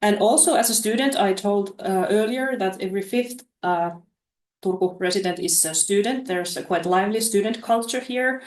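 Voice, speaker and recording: A woman walks through her slides in a webinar, speaking in a hesitant way with frequent fillers and restarts. Her voice sits at a middle pitch, and the sound carries a noticeable room echo. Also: normal speed. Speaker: study promotion presenter.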